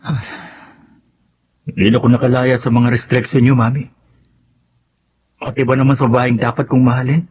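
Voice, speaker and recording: A man's voice: mid-range voice, flat delivery, normal speed, long pauses, a little mellow, close to an everyday voice. A man playing an earnest, pleading twenty-year-old son in love, with a Filipino accent, in radio drama style. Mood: pained. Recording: slightly dull, faint background noise.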